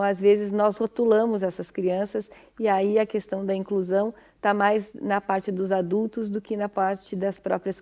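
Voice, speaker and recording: A woman with a Brazilian Portuguese accent speaks at a middling pitch. She explains in an informal, didactic way, like a speech therapist guiding parents. Her phrasing is conversational and often punctuated by short tag questions to check that listeners follow. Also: dark tone.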